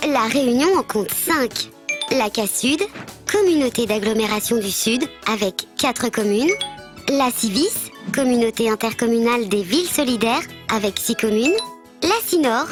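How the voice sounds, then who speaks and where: High-pitched bird mascot teacher voiced by a woman. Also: moderate pace, music in the background, close to an everyday voice. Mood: instructive, friendly. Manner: didactic, clearly structured explaining. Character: friendly tec-tec bird mascot teaching civics. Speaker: presenter of an educational programme. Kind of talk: scripted educational narration.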